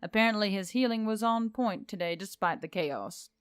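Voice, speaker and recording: A woman's voice: medium pitch, moderate pace, clear, resonant tone, no room sound. A woman reading scripted fiction as a narrator, telling a story in prose. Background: background music.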